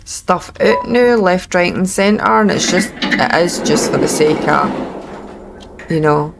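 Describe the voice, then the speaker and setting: A woman's voice: lowish voice, takes it slowly, creaky, very noisy. A Scottish woman in her thirties giving chatty, wry, informal commentary as she plays a video game. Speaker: gaming video host. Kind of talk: casual let's play commentary.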